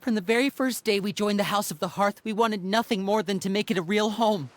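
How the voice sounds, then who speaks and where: A woman's voice: medium pitch, brisk, slight background noise, lightly acted. Woman voicing a pleading earnest young member. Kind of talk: game voice acting.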